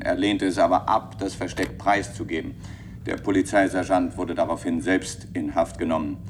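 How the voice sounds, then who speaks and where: A man's voice: deep voice, a little mellow, a little hiss or hum, natural voice. An actor playing a formal, methodical chairman in a German radio play, explaining things in an official, slightly hesitant way. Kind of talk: German radio play acting, addressing listeners.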